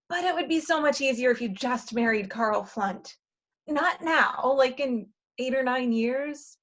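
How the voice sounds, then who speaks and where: A woman's voice: high voice, lightly acted. A woman playing a cynical, money-minded twenty-seven-year-old in a comedy play reading, blunt and insistent. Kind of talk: comedy play read aloud.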